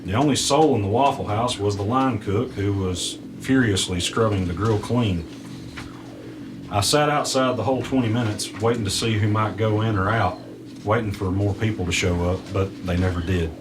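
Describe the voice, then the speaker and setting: A man's voice: fairly low pitch, moderate pace, lightly acted. An actor playing a wary, nervous audio editor telling his uneasy first-person story in an audio drama. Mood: tense, wary. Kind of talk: audio drama narration and dialogue. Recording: clearly noisy background.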